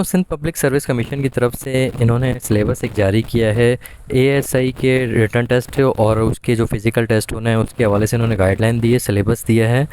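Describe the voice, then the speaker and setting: A man's voice: mid-range voice, a little mellow, slight background noise, low-quality recording. A man with a Pakistani accent explains things methodically and informally, as a presenter giving guidance to candidates. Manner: instructional, methodical point-by-point explaining.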